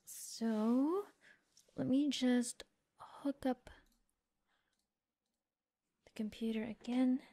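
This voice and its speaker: A woman playing a friendly, gently teasing mecha mechanic in an ASMR roleplay, soft-spoken and often whispering, murmuring reassurance as she works. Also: a little high, heavily acted. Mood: playful, reassuring. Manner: unhurried, murmuring reassurance while busy working.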